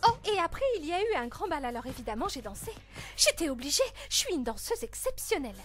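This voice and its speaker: A woman's voice: high voice, sing-song, fast, over loud music. A woman voicing a spoiled, jealous teenage princess with a snooty, dramatic manner in a fan-dub narration. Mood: boastful.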